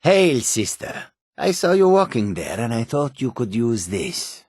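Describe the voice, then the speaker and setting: A man voicing a sly, whimsical male cat-folk wanderer in a video game, with a raspy, husky voice, deliberate clipped phrasing. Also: medium pitch, very animated, a little mellow, dry recording, studio quality. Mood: friendly. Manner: speaks of himself in third person, deliberate, clipped phrasing. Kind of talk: video game character dialogue.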